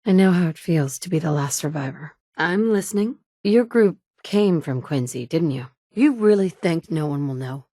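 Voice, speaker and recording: A blunt, no-nonsense wasteland survivor woman for a video game. Her voice sits low for a woman and is recorded dry and close. She talks in short, matter-of-fact lines. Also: animated, normal speed, hardly any pauses, warm tone, no room sound. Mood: steady. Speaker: player character in a video game.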